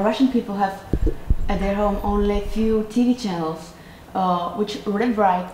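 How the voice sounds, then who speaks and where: A woman's voice: medium pitch, darkish tone. A woman in the audience asking a lecturer a question, hesitant and tentative. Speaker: audience member. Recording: echoey room with faint noise.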